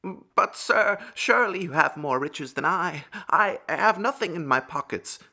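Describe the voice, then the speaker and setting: A poor storybook beggar, put on by a man, pleading politely in a raised pitch. The voice swings up and down as he begs. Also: animated, a little slow, vocal fry, pushed and bright, heavily acted. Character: poor, pleading male beggar with empty pockets.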